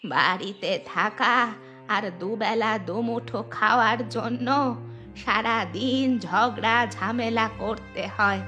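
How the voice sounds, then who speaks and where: Woman voicing a slow, sharp-voiced aggrieved grandmother. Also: a little high, faint music behind. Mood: resigned, aggrieved. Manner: slow, complaining, fatalistic. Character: aggrieved old village grandmother, resigned and sorrowful. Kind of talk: cartoon voice acting.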